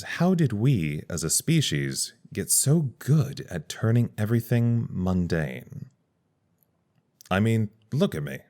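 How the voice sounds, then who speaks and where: A man's voice: low-pitched, darkish tone. An actor playing a weary, reflective man stranded at a secret facility, recording a musing, wry personal log in an audio drama. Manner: musing, wry, pausing between thoughts.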